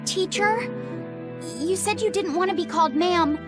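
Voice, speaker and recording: A woman's voice: very high voice, normal speed. A woman voicing a shy, earnest young boy in a video game, polite and hesitant. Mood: nervous, polite. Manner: hesitant, polite, stammers when flustered. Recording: game audio with music behind.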